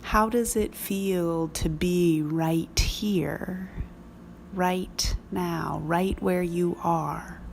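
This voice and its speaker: Very slow, creaky-voiced woman guiding meditation. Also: lowish voice, steady tone, a little hiss or hum. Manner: unhurried, measured, reflective phrasing with long pauses. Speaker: meditation guide, minister. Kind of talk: slow guided prayer meditation.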